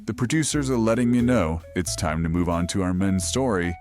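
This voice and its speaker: An actor playing an eerie, wryly playful late-night radio host, narrating horror in a measured, ominous way. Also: male voice, low-pitched, a little mellow, faint music behind, close to an everyday voice.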